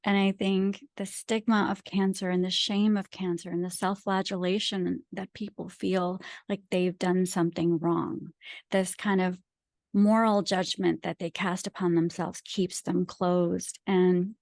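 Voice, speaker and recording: A woman's voice: fairly low pitch, level tone, a little slow, darkish tone, no room sound, clean studio sound. A woman from Pakistan who speaks English as a second language, talking as an author and guest in a reflective interview, with an earnest, emphatic, philosophical manner. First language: not English; English is her second language.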